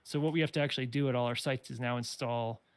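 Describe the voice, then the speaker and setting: A man with a gravelly, creaky voice at medium pitch, in a very dry recording. He talks through technical snags casually, explaining them somewhat tentatively. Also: steady tone, quick pace, dry recording. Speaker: station builder.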